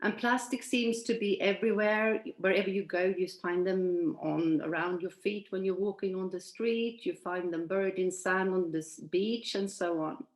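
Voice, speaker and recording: A woman's voice: fairly low pitch, normal speed, few pauses, roomy sound, poor audio. Swedish-born woman in her sixties presenting. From Sweden. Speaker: presenter at an online event. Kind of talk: presentation from shared slides. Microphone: video call.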